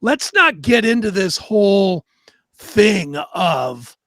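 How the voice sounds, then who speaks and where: A man's voice: high voice, sing-song, slow pace, a bit bright, dry recording, studio quality. A man co-hosting a talk show in a casual, profane way, blunt and opinionated. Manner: blunt, opinionated, swears freely.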